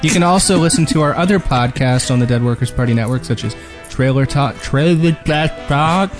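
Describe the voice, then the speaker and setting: A man's voice: mid-range voice, brisk, music in the background. Joking male podcast co-host, mid-range voice. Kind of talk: casual, jokey group podcast chat.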